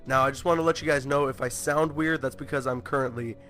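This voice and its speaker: A man's voice: medium pitch, fairly even intonation, fast, bright, forceful tone. A young man vlogging casual movie commentary while sick with a bad cold and sore throat, chatty and emphatic. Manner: chatty, filler-heavy, emphatic.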